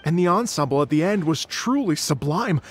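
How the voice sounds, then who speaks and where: A man's voice: on the high side, animated, a little fast, soft background music. A man voicing a flamboyant stage performer in a video game, theatrical and gushing with thanks. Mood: effusive. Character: flamboyant male stage performer, grandly effusive.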